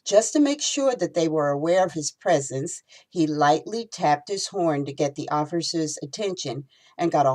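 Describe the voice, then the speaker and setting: Woman, deep voice, expressive storytelling, author reading fiction aloud. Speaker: author reading from own novel.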